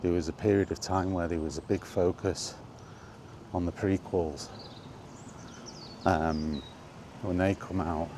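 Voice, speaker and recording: A man hosting a casual, rambling chat, thinking aloud with hesitant pauses. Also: sits low, fairly even intonation. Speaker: video host reminiscing about games. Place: on the move, walkie talkie vlog. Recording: faint ambient background noise.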